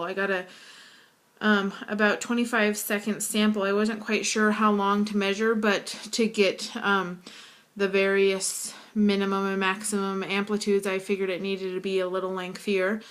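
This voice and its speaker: Deep, hoarse woman with vocal fry. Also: a bit bright. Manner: hesitant, frequent fillers. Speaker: student. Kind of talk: casual video assignment to camera.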